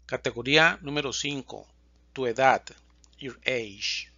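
A man teaching a Spanish lesson, reading example questions and answers slowly and distinctly. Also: mid-range voice, pushed and bright, dry recording, dull audio. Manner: reads questions and answers slowly and distinctly, pausing between lines. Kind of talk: slow, clear language lesson reading example dialogues.

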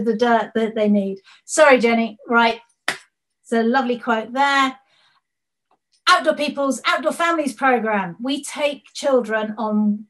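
Woman, slightly high voice, lively storytelling presenter on a video call. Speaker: panel speaker. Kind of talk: presentation over slides.

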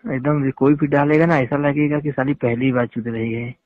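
A man's voice: mid-range voice, little pitch movement, moderate pace. A man on a phone call, talking casually and insistently, often pressing with repeated questions. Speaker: phone caller. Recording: tinny phone-line call recording.

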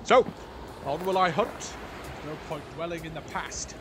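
A woman's voice: low voice, measured pace, noisy background. A woman playing a grasping, grumpy old man in an audio drama, muttering and exclaiming. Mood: grumbling.

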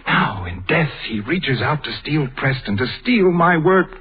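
An actor playing a proud, emotional middle-aged scientist in a vintage radio drama, theatrical and intense. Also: male voice, a little high, expressive, a little slow, slightly rough, heavily acted. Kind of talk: 1930s radio acting. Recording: tinny old radio broadcast.

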